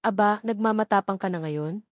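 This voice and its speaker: A woman's voice: medium pitch, moderate pace, no echo, studio quality, close to an everyday voice. A woman reading the lines of an arrogant, mocking man who barges in to claim his ex-fiancée. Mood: taunting. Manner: sneering, taunting.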